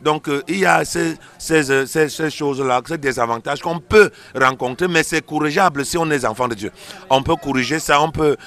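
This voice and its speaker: Forceful, bright-voiced man, emphatic pastor. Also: a little high, moderate pace. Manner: emphatic, didactic, repeats phrases for emphasis. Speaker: pastor, interview guest. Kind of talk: explanatory interview answers on a religious show.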